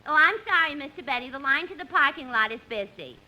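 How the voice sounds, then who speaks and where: High, bright New switchboard girl. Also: lively intonation, quick pace, heavily acted, poor audio. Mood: businesslike. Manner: slangy, dry wisecracking. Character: wisecracking, gossipy female studio switchboard operator. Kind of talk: 1950s radio comedy acting. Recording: hissy 1950s radio broadcast recording.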